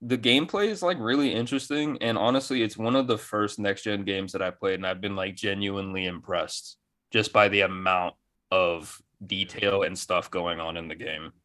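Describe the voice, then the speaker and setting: A man's voice: low voice, steady tone, normal speed, sharp tone. A man chatting casually as a gaming podcast co-host, enthusiastic, with plenty of slang and swearing.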